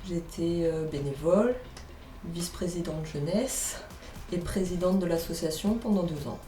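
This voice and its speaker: A woman of forty speaks in a lowish, warm voice. Her testimonial is recorded from a distance in a roomy space, with music behind. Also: moderate pace. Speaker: former association president and volunteer. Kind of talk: interview testimonial.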